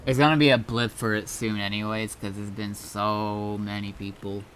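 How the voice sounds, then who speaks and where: A man streaming a game as an admin, chatting casually in a halting, explanatory way with some swearing. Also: on the low side, flat delivery, a bit bright, a little hiss or hum, rough recording.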